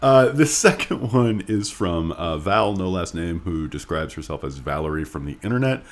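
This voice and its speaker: A man co-hosting a casual podcast, bantering and reading listener mail in a wry, joking, halting way. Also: low-pitched, lively intonation. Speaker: podcast co-host.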